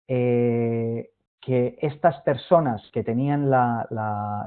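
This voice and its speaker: A man giving a hesitant online presentation, full of fillers and restarts. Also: mid-range voice. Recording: tinny phone-like line.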